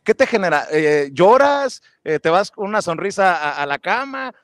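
Mexican male host, forties, live street interview, rambling questions, slightly high voice, dry close sound. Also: very animated, moderate pace, fairly bright tone, no echo. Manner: chatty, rambling questions with fillers. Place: busy city street outdoors with a crowd. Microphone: earbuds, handheld mic.

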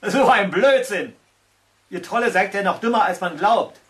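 Man putting on a mocking giant slug monster, high-pitched. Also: animated, normal speed, a bit bright. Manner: mocking, theatrical. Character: giant slug monster, scornful, boastful, lazy predator.

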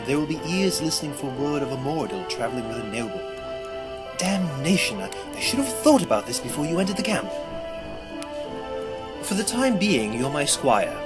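A man plays a wry young-hearted noble soldier in a fantasy radio play. His voice sits a little high and moves with lively intonation. His speech is formal, and loud music plays behind him. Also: moderate pace. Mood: stern, urgent. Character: breezy, wry male noble soldier, loyal to his prince. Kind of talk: fantasy audio drama acting. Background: orchestral game music.

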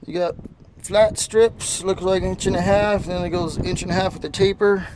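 Guy w high voice rambling casually outside, super loud wind hitting the camera mic. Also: steady tone, moderate pace. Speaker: vlogger filming a hike. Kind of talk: casual rambling chat while filming. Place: outdoors on a windy rocky desert hillside. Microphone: handheld camcorder built-in mic.

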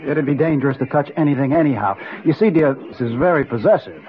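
An actor playing a protective, matter-of-fact archaeologist husband in an old radio drama. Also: male voice, on the high side, expressive, fast, lightly acted. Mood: brisk, concerned. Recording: narrowband old radio recording, faint noise.

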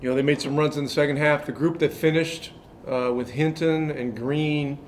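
Older male coach at a post-game presser, a touch high-pitched, halting with fillers, close mic. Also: steady tone, a little hiss or hum. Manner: measured, many fillers and restarts. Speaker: head coach at a press conference. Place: press room in front of a sponsor backdrop.

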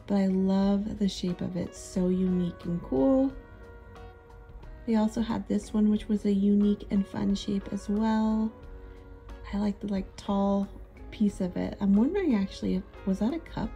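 A woman in her thirties narrating a thrift shopping trip in a chatty, relaxed, easily amused way. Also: medium pitch, vocal fry. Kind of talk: casual voice-over narration.

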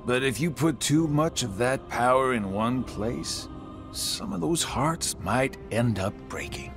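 A man's voice: mid-range voice, animated, takes it slowly, over music. A man voicing a sardonic, taunting middle-aged villain in a video game, with a drawling delivery. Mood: mocking, lecturing. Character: sly, mocking one-eyed middle-aged male villain. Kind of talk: English video game cutscene acting.

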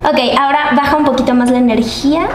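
A woman's voice: high-pitched, steady tone, fast talker. A young Mexican woman hosting a casual interview with informal, slangy phrasing. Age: young adult. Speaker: interviewer, host. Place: indoor studio set at a desk. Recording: roomy sound, noticeable background noise.

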